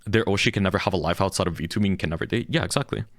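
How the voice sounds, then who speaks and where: A young man with a deep, low voice rants candidly to his viewers. He is blunt and heated and swears freely, with faint music playing behind him. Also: rapid-fire, dry recording. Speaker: VTuber streamer. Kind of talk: candid ranting monologue.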